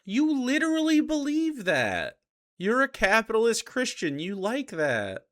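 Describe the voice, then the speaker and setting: A man's voice: very high voice, wildly swooping pitch, a little slow, fairly bright tone. A man doing casual live reaction commentary as a streamer, argumentative, sarcastic and given to swearing.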